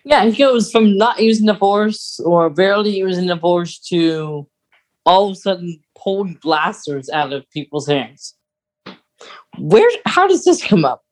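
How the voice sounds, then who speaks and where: A woman's voice: on the low side, expressive, a little slow. A woman co-hosting a podcast, giving a casual, conversational recap of a story. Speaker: podcast co-host.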